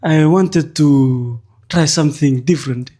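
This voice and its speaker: Hesitant young Fijian man, mellow voice. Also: on the high side. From Fiji. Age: 20s. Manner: hesitant, many pauses and uhs. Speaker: guest. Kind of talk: personal story in a podcast interview.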